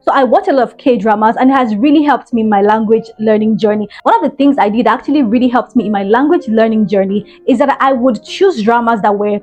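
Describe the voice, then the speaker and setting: A woman's voice: on the high side, a little fast, hardly any pauses, a little mellow, dull audio. Earnest young woman giving study tips. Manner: earnest, encouraging, emphatic repetitions. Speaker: language-learning vlogger. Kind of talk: tips vlog, direct to camera.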